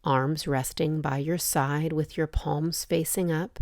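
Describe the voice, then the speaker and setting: A woman with a low voice leads a guided meditation. Her delivery is very slow and measured, with pauses between short phrases. She sounds gentle and soothing as she gives relaxation instructions. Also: fairly even intonation. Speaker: yoga nidra meditation guide.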